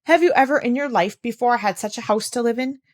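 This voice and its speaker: Stern, scandalized housekeeper played by a woman reader: haughty scolding, exclamatory, high-pitched, storybook style. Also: fairly quick, dry recording, clean studio sound, lightly acted. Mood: exasperated scolding. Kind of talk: children's storybook character reading.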